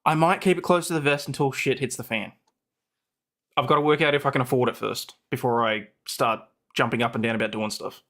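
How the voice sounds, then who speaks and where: Bright, forceful man. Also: mid-range voice, fairly even intonation, a little fast. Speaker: co-host guest with own channel. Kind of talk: casual livestream chat.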